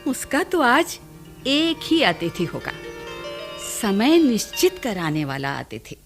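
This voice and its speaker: A woman playing an affectionate, teasing older courtesan matron in a Hindi radio play, with formal, deliberate diction. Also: fairly high pitch, sing-song, faint music behind, exaggerated voice. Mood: impatient, urging. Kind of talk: theatrical Hindi radio drama acting.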